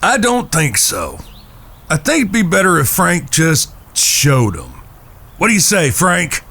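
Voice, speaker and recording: Guy as calm boss cowboy, creaky higher voice, easygoing authority. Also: unhurried, slight background noise, heavily acted. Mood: wry. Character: Phil, calm leader, eldest of outlaw brothers. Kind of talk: western audio drama acting.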